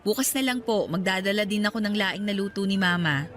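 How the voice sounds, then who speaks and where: A woman's voice: mid-range voice, fairly quick, fairly bright tone, faint music behind, natural voice. A woman playing a caring, respectful young woman in a radio drama, speaking with affection.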